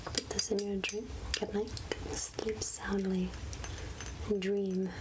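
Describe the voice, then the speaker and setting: A woman playing a soothing, wry outcast witch healer in a soft, whispered ASMR roleplay, speaking gently with musing pauses. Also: mid-range voice, flat delivery, very noisy, close to an everyday voice. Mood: soothing, playful.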